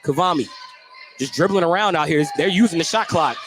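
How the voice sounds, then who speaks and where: Male radio basketball announcer, high-pitched, descriptive play-by-play, clear gym noise in the background. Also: brisk. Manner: detailed, descriptive calling, shouting on big plays. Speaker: play-by-play radio sports commentator. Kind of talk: live basketball play-by-play commentary. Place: indoor basketball gym, courtside broadcast. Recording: loud gym noise behind commentary. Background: loud ambient game noise.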